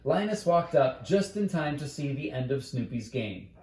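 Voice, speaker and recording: A man's voice: mid-range voice, sing-song, moderate pace, a little mellow, slight background noise. A man reading a bedtime story aloud as a storyteller, in a roomy, echoing recording.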